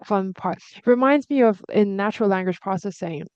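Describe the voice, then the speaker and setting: A woman's voice: mid-range voice, normal speed, darkish tone, clean tone, no echo. A woman whose first language is Cantonese, hosting a discussion and sharing her reflections thoughtfully, with frequent restarts. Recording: dull lo-fi sound.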